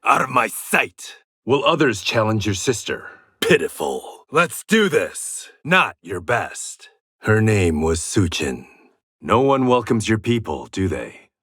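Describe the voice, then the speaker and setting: A stern, proud blind swordsman from a fighting game. His mid-pitched voice is sharp and forceful, swinging widely in pitch. He delivers terse, confident taunts with a wry edge, recorded completely dry. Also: very animated, slow pace, husky, no room sound, full character voice. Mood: determined, dry. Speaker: video game fighter character. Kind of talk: dramatic fighting game voice lines.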